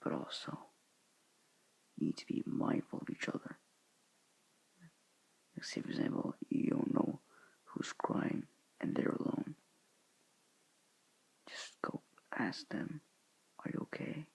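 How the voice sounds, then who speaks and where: A teenage boy talking to his viewers in a soft, hushed, halting whisper, earnest and sorrowful in tone. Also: poor audio. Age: teens. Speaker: student vlogger. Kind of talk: whispered somber address to viewers.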